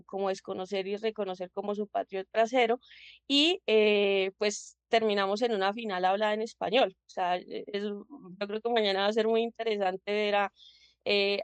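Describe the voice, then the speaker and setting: Woman, interview guest, measured and reflective, mid pitch, dry mic. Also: clean tone, dry recording. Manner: reflective, many restarts and "¿cierto?" tags. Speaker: guest, public administrator and social activist. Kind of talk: interview answer, analytical.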